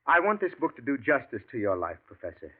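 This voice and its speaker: A man's voice: mid-range voice, very animated, fast. An actor playing an anxious male writer in his thirties in a 1940s radio drama, stammering and urgent. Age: 30s. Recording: tinny old radio broadcast.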